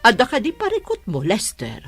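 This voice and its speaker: A woman with an Ilocano accent playing a meddling, sharp-tongued mother of a grown son in a drama. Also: on the high side, wildly swooping pitch, fairly quick, creaky, faint music behind, full character voice. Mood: nagging. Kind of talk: Ilocano comedy drama voice acting.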